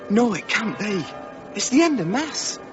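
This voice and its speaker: An actor playing a young village blacksmith, earnest and pleading, speaking softly in a radio play. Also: male voice, sits high, sing-song, a little slow, over music. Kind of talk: radio drama acting. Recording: muffled lo-fi radio recording.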